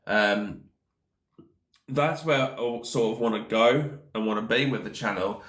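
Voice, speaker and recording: A man's voice: fairly low pitch, unhurried, a bit bright, roomy sound. Nasal, rambling man with a cold. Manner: rambling, many fillers and "of course". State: nasal from hay fever. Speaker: gaming channel host. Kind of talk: casual solo podcast talk.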